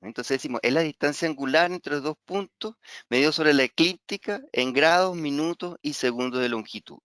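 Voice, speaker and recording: Smooth-voiced Venezuelan man lecturing. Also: fairly high pitch, a little fast, a bit bright, dry recording, clean studio sound. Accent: Venezuelan Spanish. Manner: hesitant, many fillers and repeated words, frequent tag "¿sí?". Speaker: astrology teacher. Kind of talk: webinar lecture.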